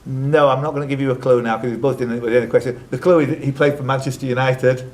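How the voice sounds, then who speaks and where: A man's voice: medium pitch, steady tone, fast talker. A man hosting a live quiz, reading out questions with jokey, teasing asides to the contestants. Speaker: quiz host and question reader.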